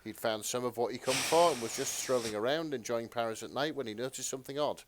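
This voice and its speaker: A man co-hosting a casual podcast, talking in a halting, slightly hesitant way. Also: medium pitch, a little hiss or hum, rough recording. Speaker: podcast co-host.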